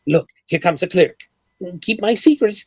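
A grandiloquent, obsessive rich eccentric in an old-time radio comedy. The voice sits fairly high and swings dramatically through flowery, formal phrases. The recording is dull and dated. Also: male voice, animated, a little slow, vocal fry, exaggerated voice. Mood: conspiratorial, dramatic. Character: pompous eccentric millionaire, obsessed bird egg collector.

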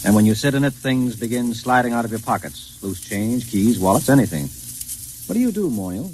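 An actor playing a wry, fussy sophisticate narrating a 1950s radio drama. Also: male voice, mid-range voice, lively intonation, a little fast, a little mellow, natural voice, rough recording. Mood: mocking, reluctant. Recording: hissy 1950s radio recording.

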